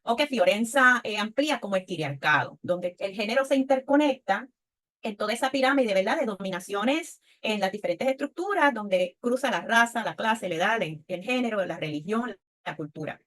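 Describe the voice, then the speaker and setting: A woman's voice: medium pitch, animated, moderate pace, a bit bright. An older woman with a Puerto Rican accent gives a reflective personal testimony on a panel, often checking in with short tag questions. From Puerto Rico. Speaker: panelist, women's leadership speaker.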